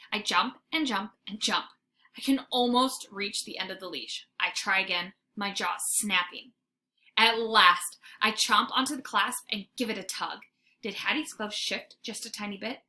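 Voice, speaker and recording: A woman reading a children's book aloud like a teacher, with dramatic, expressive storytelling. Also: a little high. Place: informal homemade recording. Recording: faint background noise, homemade recording.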